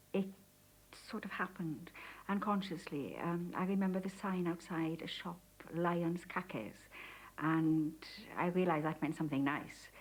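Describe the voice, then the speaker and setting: A Welsh-accented woman whose first language is Welsh, gently reminiscing about her childhood in an interview. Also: on the low side, breathy edge, rough recording. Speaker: interviewee, mother.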